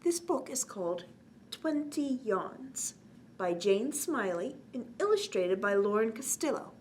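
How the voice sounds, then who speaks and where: Higher-voiced woman reading a bedtime story, noisy recording. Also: a little slow. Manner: expressive, addresses young children with gentle questions. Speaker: children's storytime host. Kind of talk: read-aloud bedtime story with chatty asides. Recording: clearly noisy background.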